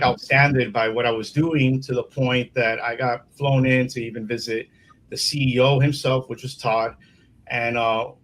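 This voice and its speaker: A man's voice: mid-range voice, fairly bright tone, low-quality recording. A middle-aged man from Miami, a sales consultant, telling his career story as an interview guest with many uh's and restarts. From Miami, Florida. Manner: rambling, many fillers and restarts. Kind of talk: casual interview talk.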